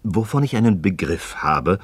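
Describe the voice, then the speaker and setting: An actor playing an inquisitive, sceptical friend in a radio dialogue, probing in formal, expressive speech. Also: male voice, low voice, moderate pace, breathy edge, no room sound, full character voice. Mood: sceptical, insistent. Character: inquisitive, sceptical male friend pressing for answers. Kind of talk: German radio play dialogue.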